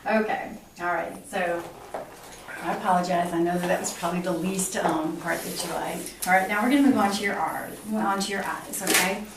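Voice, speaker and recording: Middle-aged female clinician, instructional and halting, talking a patient through an exam. Very reverberant small room, noisy. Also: on the low side, fairly even intonation. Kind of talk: explaining a physical exam step by step. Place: small hard-walled exam room indoors. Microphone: camera mic a few feet away. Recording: echoey room, distant mic, clear background noise.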